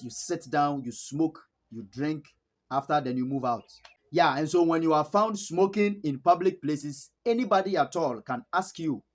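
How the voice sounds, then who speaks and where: High-voiced Ghanaian man vlogging. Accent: Ghanaian English. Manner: chuckles often, repeats words for emphasis. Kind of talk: casual storytelling to camera.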